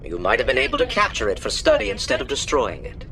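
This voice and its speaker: A man's voice: medium pitch, wildly swooping pitch, fast, pushed and bright. A man playing a stern, businesslike councilor in a video game, speaking with authority. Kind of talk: video game dialogue acting.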